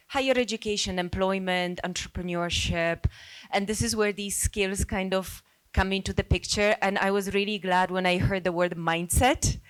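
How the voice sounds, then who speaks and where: Female discussion participant, Polish native speaker from Poland, medium pitch, earnest and reflective, unscripted delivery. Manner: earnest, reflective, thinking aloud. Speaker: NGO worker speaking as a participant. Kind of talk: informal spoken contribution to a group discussion.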